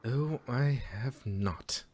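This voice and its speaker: A man's voice: mid-range voice, extremely slow, a little mellow. A man voicing a flustered young pony princess, regal but anxious and sardonic, in an amateur fan fiction reading.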